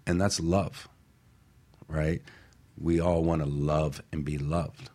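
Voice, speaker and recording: A man with a deep, slightly rough voice speaks as a panelist on a talk show. He talks in a casual, earnest, motivational way and often checks that listeners follow him. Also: level tone, slow pace, dark tone.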